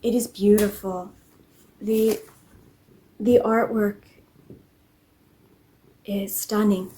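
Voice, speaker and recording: A woman narrating a cozy home vlog in a gentle, unhurried way. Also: medium pitch, flat delivery, a little hiss or hum, poor audio. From English-speaking country. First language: English. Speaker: vlogger. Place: home in Norway.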